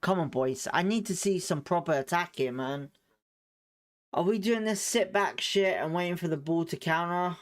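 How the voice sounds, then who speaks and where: A man's voice: fairly high pitch, clean tone. A man hosting a live fan watchalong at home, calling the play and chatting his opinions while hedging them. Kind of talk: live fan commentary over a match.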